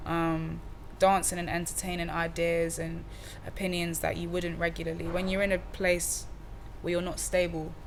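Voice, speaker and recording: Young woman musician, low-pitched, easygoing slangy talker, faint room hiss behind. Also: normal speed, hardly any pauses. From Cardiff, Wales. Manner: relaxed, slangy, many fillers and restarts. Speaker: musician guest. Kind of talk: casual interview chat.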